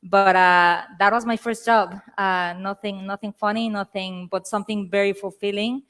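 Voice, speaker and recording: A woman's voice: medium pitch, steady tone, moderate pace, fairly bright tone, clean tone. A woman from Mexico with a Mexican accent, speaking as a panelist on a conference stage into a handheld mic, chatty and self-deprecating. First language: Spanish.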